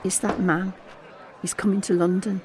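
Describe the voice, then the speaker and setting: A woman voicing a bitter, determined middle-aged mother in an audio drama monologue, with deliberate, emphatic delivery. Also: medium pitch, little pitch movement, a crowd in the background, noisy background, natural voice. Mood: wistful. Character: Alex's mum, middle-aged, bitter, determined, grieving wife.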